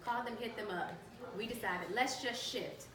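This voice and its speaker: Brisk woman panelist, distant echoey mic. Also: fairly high pitch, a crowd in the background. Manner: brisk, matter-of-fact storytelling. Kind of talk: panel discussion. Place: event room. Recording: echoey room, distant mic, background noise.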